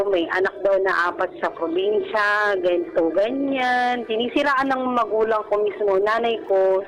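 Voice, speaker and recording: A woman's voice: mid-range voice, dull audio. Filipino woman calling a radio show, music underneath. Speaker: caller to a radio advice show. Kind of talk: personal account in conversation. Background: background music.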